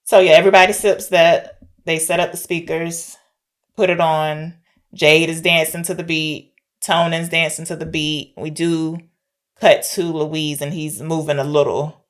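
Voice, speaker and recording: A woman's voice: deep voice, little pitch movement, unhurried. A woman co-hosting a podcast, casually retelling a film's story and thinking aloud as she goes. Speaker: podcast co-host. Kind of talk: casual film discussion, retelling the plot.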